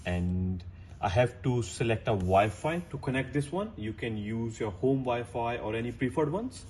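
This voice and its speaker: A male technician walks through a how-to demonstration one step at a time in a mid-pitched voice. His phrasing is plain and a little halting. There is clear background noise and room ambience behind him. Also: expressive, a little mellow. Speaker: technician presenting a tutorial.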